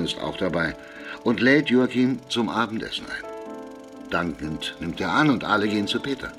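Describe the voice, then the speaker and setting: An actor narrating an absurd farce for a radio drama in a measured, deliberate storytelling manner. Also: male voice, sits low, a bit bright.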